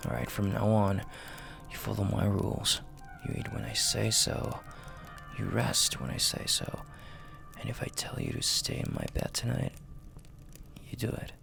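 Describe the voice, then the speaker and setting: A man playing a dominant, flirty and possessive mafia boss in an intimate audio roleplay, speaking in a gravelly, breathy half-whisper with a commanding, teasing manner. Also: on the low side, sing-song, music in the background, exaggerated voice. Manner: slow, commanding, teasing, with drawn-out pauses.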